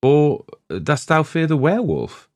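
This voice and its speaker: A man voicing a young maiden in love, questioning and easily startled, in expressive archaic storybook speech. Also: a little high, a little slow, pitched above the performer's own voice. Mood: wondering, coy.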